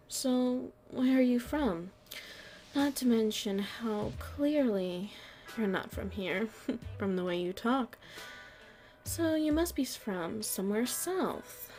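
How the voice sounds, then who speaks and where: Woman acting as proud mafia showbiz lady, kinda high voice, taunting n deliberate, asmr roleplay w music behind. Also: darkish tone. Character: shrewd proud nightclub headliner and businesswoman in the mafia. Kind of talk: ASMR roleplay audio drama.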